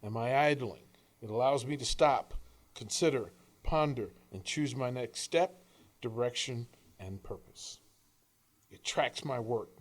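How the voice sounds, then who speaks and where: Man giving an earnest, emphatic inspirational reading. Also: on the low side. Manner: earnest, emphatic, deliberate phrasing with dramatic pauses. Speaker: author reading own work; coach and radio host. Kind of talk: scripted inspirational audiobook-style reading.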